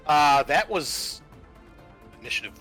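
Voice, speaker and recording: A man runs a tabletop roleplaying game in a bright, forceful voice. He narrates and rules casually, swearing freely, with music playing behind him. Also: a little high, sing-song, a little slow. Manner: casual, profane. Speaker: game master.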